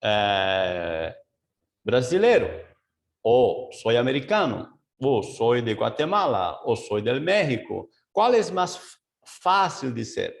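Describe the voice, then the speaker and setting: A man leading a Bible study over a video call as pastor, mixing Portuguese with Spanish, slow and searching, with many fillers and asides. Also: medium pitch, very animated, vocal fry.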